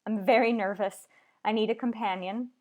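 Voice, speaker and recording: A woman's voice: medium pitch, fairly even intonation, moderate pace, lightly acted. A woman playing a nervous, imperious invalid in an old-time radio thriller, agitated and pleading. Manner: demanding, agitated, breathless pleading.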